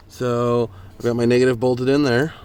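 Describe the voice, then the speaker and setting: A man's voice: mid-range voice, a little mellow, smooth. A man giving a casual, plainspoken DIY walkthrough from inside a truck cab, grumbling mildly as he points things out. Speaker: vlogger showing a DIY truck install. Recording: handheld camera audio with faint vehicle noise.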